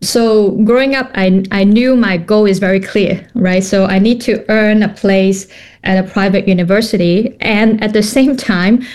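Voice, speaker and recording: A woman's voice: medium pitch, fairly even intonation, moderate pace, a little mellow. A woman in her thirties from Hong Kong, a company founder answering interview questions thoughtfully, with many fillers and restarts.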